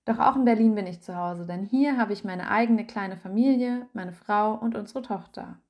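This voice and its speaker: A woman narrating a written article aloud in an even, measured reading style. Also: medium pitch. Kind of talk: scripted narration.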